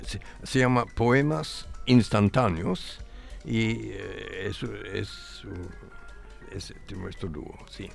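A middle-aged man from England speaking as a guest musician in a casual radio interview, halting as he searches for words. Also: fairly low pitch, vocal fry, soft background music.

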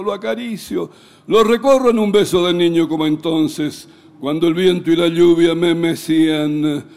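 A man giving a dramatic, expressive recitation of a poem in a declamatory manner. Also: on the high side.

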